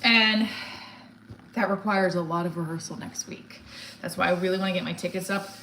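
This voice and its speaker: A woman's voice: lowish voice, fairly even intonation, fairly quick, bright, forceful tone. A woman in her forties chatting casually on a livestream, blunt and candid, rambling and often breaking off mid-thought. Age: 40s. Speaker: stand-up comedian livestreamer. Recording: roomy echo, some background noise.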